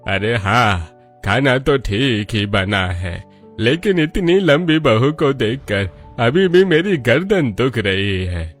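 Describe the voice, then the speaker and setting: A man voicing an elderly, authoritative, grumbling grandfather in a cartoon family story, in a measured instructing manner. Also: mid-range voice, lively intonation, fairly bright tone, faint music behind.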